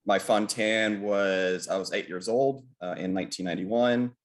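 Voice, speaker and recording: A man in his late thirties introducing himself informally as a panelist, in a halting way. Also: fairly low pitch, fairly even intonation. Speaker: patient panelist.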